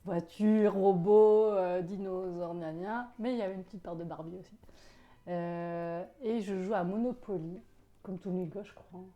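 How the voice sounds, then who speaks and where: A lively, talkative woman in her forties, grown up outside Paris, whose Parisian accent has worn soft. Her voice sounds mellow and warm as she chats, jokes and laughs easily through a relaxed interview. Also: on the low side, moderate pace, faint music behind. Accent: Parisian French, softened. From Paris suburbs, France. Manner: chatty and animated, laughs often. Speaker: guest. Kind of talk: casual interview chat.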